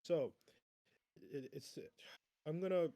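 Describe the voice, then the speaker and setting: A man's voice: a little high, slow, mellow, no echo. A man chatting casually as a player in a tabletop roleplaying game, blunt and heavily profane.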